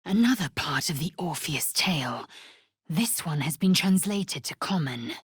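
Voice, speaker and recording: An actress playing a fierce, proud alien warrior woman in a video game, with a raspy voice and curt, imperious, formal diction. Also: low-pitched, expressive, unhurried, no room sound, clean studio sound, heavily acted. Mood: commanding.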